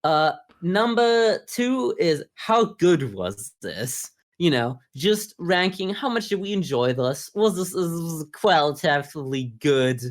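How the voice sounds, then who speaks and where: A podcast co-host with a mid-pitched voice talks through a review in a casual way. The phrasing is informal and peppered with swearing. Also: lively intonation, slow, hardly any pauses.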